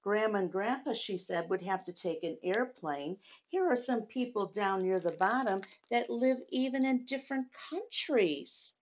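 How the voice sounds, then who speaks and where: A woman teaching and reading a story aloud to young children, speaking in simple, deliberate phrases and asking them questions. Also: mid-range voice, expressive, highs cut off.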